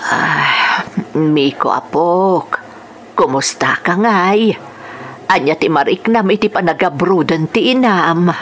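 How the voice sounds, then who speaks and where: A woman playing a gentle, caring grandmother in a radio drama, with a Filipino accent and a hesitant, drawn-out delivery. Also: fairly low pitch, expressive, a little hiss or hum. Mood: tender. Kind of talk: Ilocano radio drama acting.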